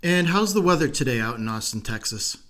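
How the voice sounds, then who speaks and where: A man's voice: medium pitch, lively intonation, a little fast, sharp tone. A man hosting a podcast, introducing and interviewing in a friendly style. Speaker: podcast host.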